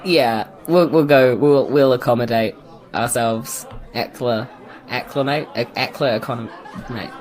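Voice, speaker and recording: A woman's voice: rumbling low, unhurried, darkish tone. A woman, chatting and improvising casually as a player in a tabletop game. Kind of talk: improvised casual role-play chat. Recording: music and crowd noise behind. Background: music, crowd.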